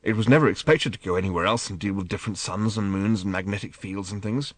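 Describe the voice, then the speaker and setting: A man's voice: lowish voice, fast talker, no echo, close to an everyday voice. A man reading an audiobook, voicing a mild, bewildered father who explains things hesitantly. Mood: explaining. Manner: hesitant, apologetic, gently explaining.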